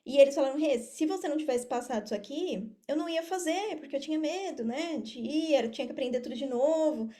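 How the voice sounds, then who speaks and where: A woman's voice: high voice, fast, few pauses, dark tone. A woman with a Brazilian accent talking casually as a podcast guest, explaining things directly to the listener. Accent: Brazilian Portuguese. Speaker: podcast guest, affiliate marketer and mentor. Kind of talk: casual interview talk.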